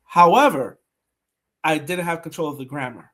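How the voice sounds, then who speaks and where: Male podcast host, high and lively, tells stories with emphatic repeats. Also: moderate pace. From Brooklyn, New York. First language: Haitian Creole. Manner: animated storytelling, emphatic repeats. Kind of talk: conversational interview.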